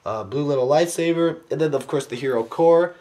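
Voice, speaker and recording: A man's voice: a little high, brisk, warm tone. Young man rambling through a toy review. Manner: rambling, many fillers, enthusiastic asides. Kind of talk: casual unscripted toy review. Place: indoors, carpeted room.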